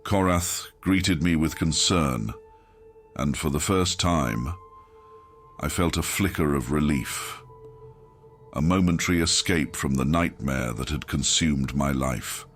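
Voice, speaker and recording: A man reading a scripted first-person horror story in a grim, measured, dramatic manner, as an audiobook narrator. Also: rumbling low, faint music behind.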